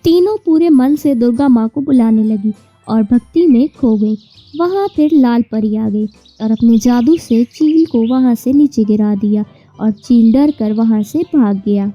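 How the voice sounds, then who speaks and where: A woman's voice: fairly high pitch, unhurried, muffled recording. A woman narrating a scripted children's story, with music and birdsong behind her.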